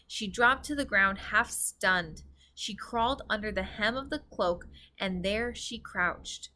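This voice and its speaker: A woman reading a children's story aloud as an expressive narrator. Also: medium pitch, slow pace, a little hiss or hum.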